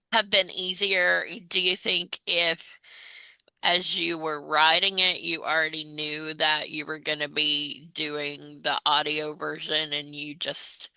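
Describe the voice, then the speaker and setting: Low, bright, slow-talking woman interviewer. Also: gravelly, smooth, dry recording, rough recording. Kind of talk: casual interview questions.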